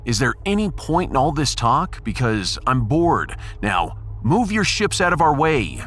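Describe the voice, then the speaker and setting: A man's voice: mid-range voice, very animated, a little slow, a bit bright. Man acting a wry, swooping starship captain. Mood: impatient, mocking. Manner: sarcastic, dry, impatient. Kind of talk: sci-fi audiodrama character acting.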